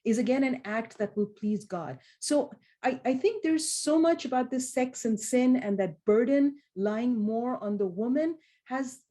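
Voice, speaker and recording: A woman speaking as a scholar on a panel, in a thoughtful, halting, reflective manner. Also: fairly high pitch, mellow. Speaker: panellist, Islamic studies scholar.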